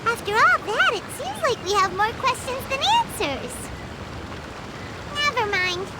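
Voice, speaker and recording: A woman's voice: extremely high pitch, very animated, a little slow, pushed and bright, heavily acted. A woman voicing a tiny, bubbly companion creature who asks lots of questions in game dialogue. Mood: upbeat. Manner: bubbly, inquisitive. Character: tiny chirpy female companion creature, curious.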